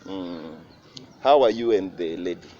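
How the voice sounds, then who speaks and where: A man's voice: deep voice, animated, unhurried, warm tone. Kenyan man hosting, noisy muffled recording. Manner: chatty, mixes in Swahili slang. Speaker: show host. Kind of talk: casual interview hosting, code-switching. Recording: muffled with clear background noise.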